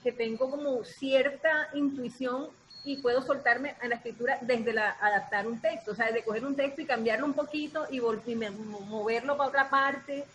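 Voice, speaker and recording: A woman's voice: fairly high pitch, moderate pace. A woman with a Colombian Caribbean accent chatting informally and expressively in an interview. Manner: expressive, informal, acts out quotes. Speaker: writer in an arts collective. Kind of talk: casual interview chat. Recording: noisy background ambience.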